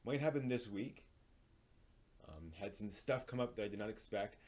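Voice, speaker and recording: A man hosting a live stream, reading entertainment news in an informal, somewhat halting way with casual asides. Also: on the low side, lively intonation, a little mellow. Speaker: live stream host presenting anime news.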